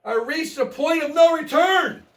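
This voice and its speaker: A man voicing an earnest, excitable, messy little boy from a children's storybook. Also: very high voice, a little slow, voice pushed up, exaggerated voice. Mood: excited yelling. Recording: roomy, echoey, distant microphone.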